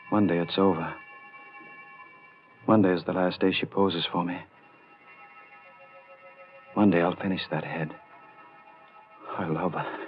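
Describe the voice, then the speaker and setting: A brooding, secretly mad male sculptor speaks in a deep voice with bitter, theatrical intensity. It is 1940s radio horror on a muffled old recording with faint music.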